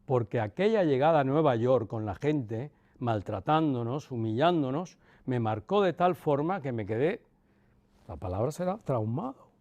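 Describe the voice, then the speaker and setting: A man in his sixties with a Cuban accent softened by Castilian Spanish, reminiscing in an interview in a measured way, with pauses and emphatic repetition. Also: medium pitch, animated. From Cuba. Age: 60s, about 64. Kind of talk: reflective personal reminiscence.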